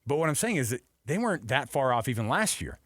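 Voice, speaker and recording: Man, American accent, mid-pitched voice, close dry sound, sports radio host, conversational, emphatic, repetitive phrasing. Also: big pitch swings, quick pace, fairly bright tone, no echo. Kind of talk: unscripted sports talk and analysis.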